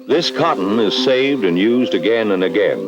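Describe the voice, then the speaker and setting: Deep, dramatic American male narrator. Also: expressive, normal speed, dull audio. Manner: grave, ominous, emphatic. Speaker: narrator of an educational film. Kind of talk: dramatic scripted 1960s documentary narration. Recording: vintage 1960s film soundtrack. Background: dramatic background music.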